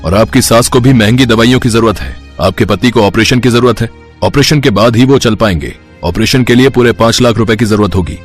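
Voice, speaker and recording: A man's voice: on the low side, brisk, faint music behind, lightly acted. A man voicing a grave, blunt village doctor in a cartoon story.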